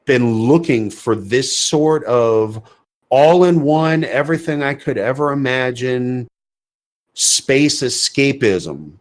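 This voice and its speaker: A man's voice: mid-range voice, expressive, slow. A man co-hosting a podcast in an opinionated, casual discussion, emphatically asking and answering his own questions. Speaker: podcast co-host.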